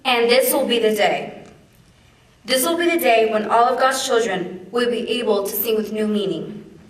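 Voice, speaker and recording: A young woman, a student, giving a declamatory, measured dramatic reading of a famous speech. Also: on the high side. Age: young adult. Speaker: student reader. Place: large echoey room at a public event. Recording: echoey hall, far from the mic.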